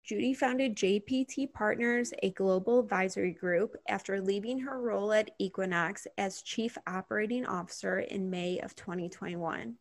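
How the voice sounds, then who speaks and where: A woman's voice: mid-range voice, moderate pace, few pauses. A woman hosting a podcast, reading a scripted introduction and then asking long, earnest interview questions. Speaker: podcast host.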